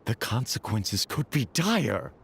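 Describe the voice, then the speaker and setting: A man's voice: mid-range voice, sing-song, takes it slowly, creaky, noisy background, full character voice. A man playing a stern, dutiful guard in a video game, speaking in a formal, grave and cautious way.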